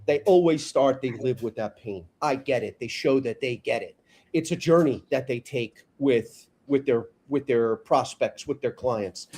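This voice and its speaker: A man's voice: medium pitch, a little slow, breathy edge. A man with a New York accent talking about sales in a casual panel chat, blunt and opinionated. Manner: blunt, opinionated, animated storytelling. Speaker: sales trainer guest.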